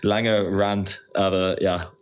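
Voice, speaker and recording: Low-voiced Englishman, halting non-native speaker. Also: little pitch movement, slight background noise. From England. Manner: halting, non-native phrasing with frequent searching for words. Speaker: podcast guest. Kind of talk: casual interview chat.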